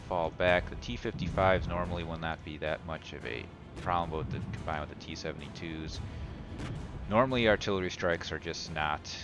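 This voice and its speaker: A man thinking aloud as he plays a game, casual and halting, with many uhs and ums. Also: deep voice. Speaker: gamer commentating own gameplay. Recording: game battle music and gunfire behind. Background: game music, artillery, gunfire, vehicles.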